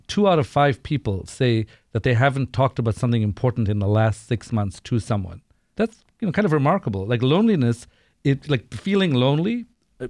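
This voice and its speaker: A man speaking as an expert radio guest, explaining things conversationally in a measured, informative manner. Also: mid-range voice, darkish tone, no room sound, clean studio sound. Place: radio studio.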